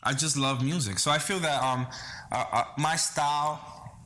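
A man's voice: a little high, fairly even intonation, moderate pace, sharp tone. New guy chatting in an interview, earnest, stumbles over words a bit, some noise and clicking behind him. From Bronx, New York City, early childhood in the Dominican Republic. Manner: earnest, hesitant, repeats words. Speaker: interview guest, singer-songwriter. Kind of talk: casual interview talk.

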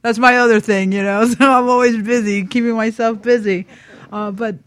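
A woman's voice: fairly high pitch, steady tone, moderate pace. A woman speaking earnestly and emphatically in a casual radio discussion, repeating phrases for emphasis.

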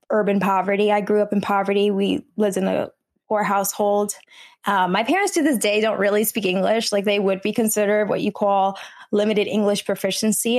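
Slightly hoarse New Jersey woman. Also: mid-range voice, darkish tone. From New Jersey, USA. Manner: conversational, many fillers and restarts. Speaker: guest, medical student. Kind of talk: podcast interview.

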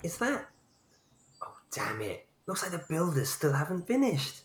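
A man's voice: on the high side, sing-song, measured pace. A man playing an anxious, hesitant, old-fashioned husband in a naturalistic audio drama. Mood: dismayed. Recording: echoey, clearly noisy ambience.

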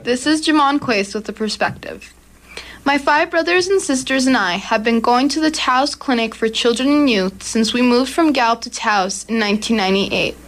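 A teenage girl reading her own commentary for a youth radio program in an earnest, steady way. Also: a little high, normal speed. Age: teens. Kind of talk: read commentary.

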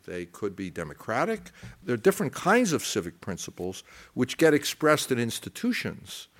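A man speaks as an academic lecturer in a mid-range voice with strongly varied intonation. He works through his ideas haltingly, restarting and correcting himself, then lands on important phrases with deliberate emphasis. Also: mid-range voice. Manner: halting and discursive, stammering restarts and self-corrections.

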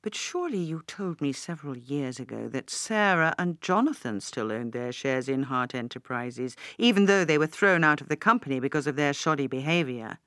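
A woman reading an audiobook as a shrewd older gentleman, speaking slowly, formally and thoughtfully. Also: rumbling low, animated, no room sound, clean studio sound, pitched below the performer's own voice, heavily acted. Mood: puzzled. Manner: deliberate, formal. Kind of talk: audiobook character dialogue.

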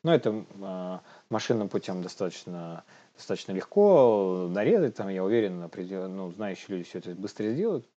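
A man's voice: low voice, very animated, a little fast, dark tone, lo-fi sound. A young man co-hosting a casual news chat in an informal, slangy manner, often chuckling as he talks. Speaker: podcast co-host.

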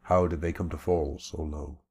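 A man voicing a calm male warrior who speaks quietly and with measured menace. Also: extremely low pitch, breathy edge, exaggerated voice. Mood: solemn.

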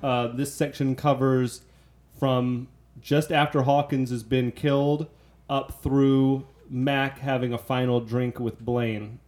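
Podcast co-host: man, middle-pitched voice, informal conversational film commentary. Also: level tone, slow, a little mellow. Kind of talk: casual chat, film commentary.

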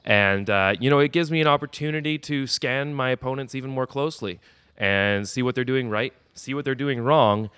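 A man's voice: medium pitch, moderate pace, a bit bright, clean tone, no echo. A man being interviewed on a podcast as a wrestler, talking in a cocky, boastful heel manner. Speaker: wrestler guest.

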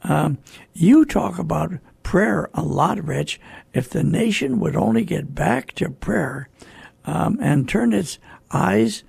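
An older man hosting a radio program informally, with a gravelly, husky voice and an earnest, halting way of repeating himself. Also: high voice, animated, darkish tone, dry recording. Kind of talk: informal hosting, introducing songs.

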